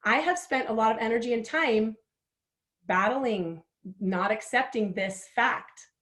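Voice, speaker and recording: A woman's voice: on the high side, a little slow, fairly bright tone. A woman of forty with a chatty, candid manner, guiding listeners through a writing exercise and laughing at herself as she goes. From Utah, United States. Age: 40s. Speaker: workshop host. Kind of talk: guided writing workshop.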